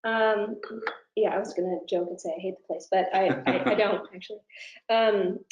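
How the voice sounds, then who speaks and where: Mellow-voiced woman professor in a roomy space. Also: mid-range voice, steady tone, a little fast, dull audio. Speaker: university professor, interview guest. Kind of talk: conversational webinar answers. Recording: roomy, echoing room sound.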